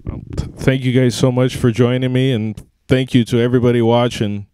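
A man's voice: medium pitch, little pitch movement, a little fast, mellow, clean tone, poor audio. A young man hosting a wrestling interview, speaking earnestly like an announcer into a handheld microphone. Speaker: interview show host. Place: indoor wrestling ring. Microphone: handheld microphone held close.

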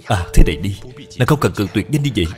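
Man acting a low-voiced, courteous gentleman suitor. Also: lively intonation, fast talker, over music, close to an everyday voice. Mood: courteous, persuasive. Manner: polite, formal, reassuring. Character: courteous, persuasive young gentleman suitor of means. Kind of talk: cartoon dub acting.

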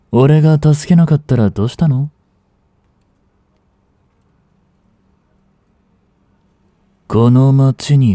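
A man acting as a cynical, aloof young street delinquent in a voice drama, with rough, casual, lazily teasing speech, close to the mic. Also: fairly low pitch, warm tone, dry recording. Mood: cool scolding. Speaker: voice actor. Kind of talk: Japanese voice drama aimed at women, one-sided dialogue. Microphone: close studio mic. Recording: dry close-miked studio recording.

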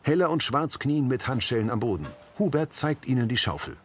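A man reading scripted audio description of scenes in a measured way. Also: mid-range voice, expressive, a little hiss or hum.

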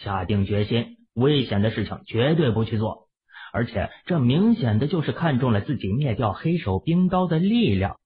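A man's voice: medium pitch, expressive, moderate pace, slightly rough. A man narrating a novel expressively as an audiobook reader. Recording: muffled, phone-like narrow sound.

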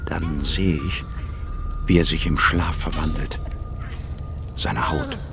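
An actor playing a scientist who narrates a radio drama gravely in the first person. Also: male voice, extremely low pitch, fairly even intonation, takes it slowly, heavily acted. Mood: hushed horror. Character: grave male scientist narrating a dreadful alien discovery. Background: music.

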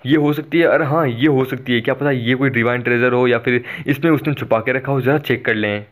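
A man's voice: mid-range voice, fairly even intonation, brisk, lightly acted. A man voicing a scheming, greedy young woman in a cartoon recap, in his own everyday voice. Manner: sharp, scheming.

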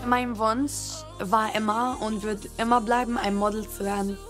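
A woman's voice: fairly high pitch, level tone, a bit bright. A woman from Syria, a model contestant, telling her story in a heartfelt confessional interview. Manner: heartfelt, unhurried. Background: music.

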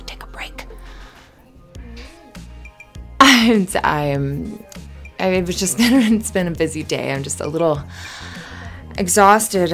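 A woman playing a flustered, friendly young café barista in an ASMR roleplay, chatty and halting in a natural conversational way. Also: mid-range voice, a bit bright. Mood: flustered, tired. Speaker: voice actor in audio roleplay.

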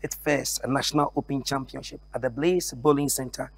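A man reading a scripted news report in a measured, even way. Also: medium pitch, gravelly, breathy edge.

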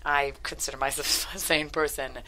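A woman chatting casually as an interviewed screenwriter, often halting and correcting herself. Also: low-pitched, steady tone, pushed and bright, rough recording. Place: talk-show studio couch. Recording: faint noise, studio ambience.

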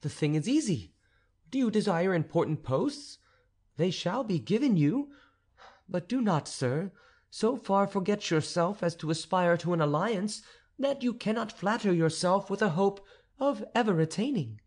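A man's voice: high voice, animated, moderate pace, darkish tone, voice pushed up. A man reading an audiobook, voicing a proud, stern noble countess in a haughty, imperious manner.

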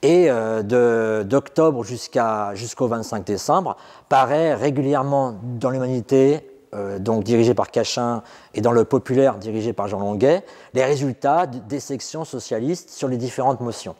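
A middle-aged man speaks unscripted as a guide in an exhibition room, pausing often with fillers and restarting phrases. His voice sits at an ordinary male pitch. A long reverb trails behind him. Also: darkish tone. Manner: hesitant, many fillers and restarts. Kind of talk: unscripted explanatory talk.

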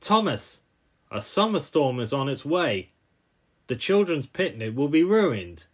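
A man's voice: a little high, very animated, unhurried, slightly rough, heavily acted. A man voicing a cheerful, helpful, eager male jet plane in a cartoon style. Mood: excited. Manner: bright and eager.

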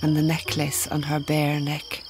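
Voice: mid-range voice, completely flat delivery, measured pace. Radio drama narrator over faint music.

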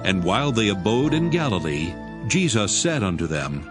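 A man's voice: lowish voice, sing-song, moderate pace. A man narrating a scripted King James Bible reading. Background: music.

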